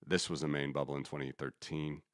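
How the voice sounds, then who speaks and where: Very deep-voiced man, rambling commentary. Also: flat delivery, a little fast, no echo, clean studio sound. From United States. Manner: rambling, restarts, thinks aloud, opinionated. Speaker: podcast host. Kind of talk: casual economics commentary.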